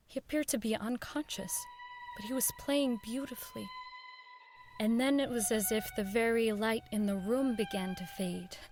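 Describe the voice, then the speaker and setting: A woman playing an uneasy radio producer who recalls eerie events in measured, dramatic audio drama narration. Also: a little high, natural voice. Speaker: narrator and radio show director. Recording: violin music behind the voice.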